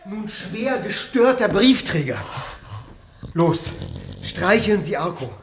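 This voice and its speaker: An actor playing an eccentric, fussy painter husband in a radio drama, making odd, solemn pronouncements. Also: male voice, high-pitched, very animated, slow, background noise, full character voice. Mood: fussy, instructing. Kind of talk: German radio drama acting.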